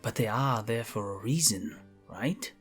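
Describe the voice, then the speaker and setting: A man voicing a smug, scheming elf spellcaster in a fantasy audio drama, musing theatrically in a husky voice. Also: lowish voice, expressive, measured pace, a bit bright, faint music behind. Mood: pondering.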